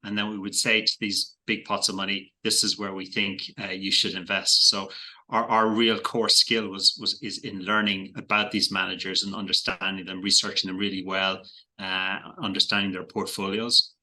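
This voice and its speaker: A middle-aged Irish man with a low voice and a strikingly bright, forceful edge. He talks in an easygoing interview manner, circling back over his words as he finds the right phrasing. His laughs come lightly. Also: flat delivery, normal speed. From Ireland. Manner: thoughtful, repeats words while finding phrasing, light laughs. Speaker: investment guest. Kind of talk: casual interview chat.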